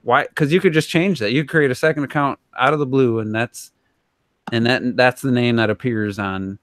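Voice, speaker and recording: A man's voice: medium pitch, moderate pace, a bit bright, no echo, clean studio sound. A man chatting casually and informally as a podcast co-host, in a rambling way. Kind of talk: casual gaming podcast discussion.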